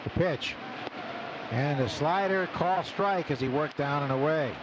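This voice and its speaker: A man with a slightly high voice works as a baseball play-by-play announcer on live radio. Clear outdoor ballpark noise surrounds his commentary. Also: a little slow. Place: open broadcast booth at outdoor ballpark. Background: loud stadium ambience.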